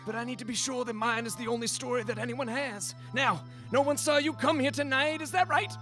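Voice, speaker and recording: An actor playing a scheming, haughty crime boss who gives commands, in a comic radio play. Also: male voice, very high voice, fairly quick, fairly bright tone, faint music behind. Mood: menacing. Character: scheming, bossy crime boss with squeamish taste.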